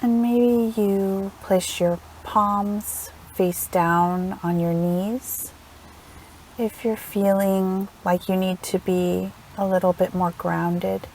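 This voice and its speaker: A woman leading a guided meditation in a gentle, soothing manner, unhurried and leaving long pauses between phrases. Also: mid-range voice, steady tone, slight background noise, low-quality recording. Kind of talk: guided meditation, slow instructional narration.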